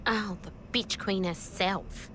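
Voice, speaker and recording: A woman's voice: mid-range voice, steady tone, takes it slowly, a bit bright, a little hiss or hum. An actress playing a hot-headed, insolent young woman in a video game. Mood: defiant, sarcastic. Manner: brash, insolent.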